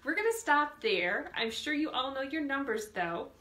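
A woman leading a storytime for young children, reading a picture book expressively and deliberately, drawing out words and asking the children questions. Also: high voice, very animated, pushed and bright. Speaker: children's librarian hosting storytime. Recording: echoey room sound.

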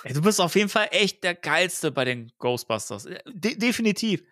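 A man's voice: sits high, very animated, moderate pace, sharp tone, no echo, studio quality, pitched above the performer's own voice, exaggerated voice. Man as a gushing, fawning slime ghost. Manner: gushing, sycophantic.

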